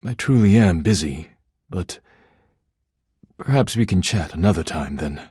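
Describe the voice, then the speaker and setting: An actor playing a proud, formal male artist in a video game, with a husky voice and deliberate, theatrical phrasing. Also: deep voice, expressive, mellow, no echo, clean studio sound, exaggerated voice. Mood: civil, sincere. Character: proud, formal, easily wounded male artist, devoted when befriended.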